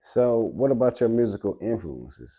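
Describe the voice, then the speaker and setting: A man's voice: on the low side, sing-song, moderate pace, warm tone, muffled recording. A man hosting a casual interview podcast, asking eager, rambling questions and agreeing often. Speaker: podcast host.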